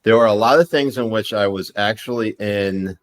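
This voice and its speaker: Low-voiced man rambling in casual chat. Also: moderate pace. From United States, partly raised in Pakistan. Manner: rambling, many restarts and fillers. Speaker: podcast guest.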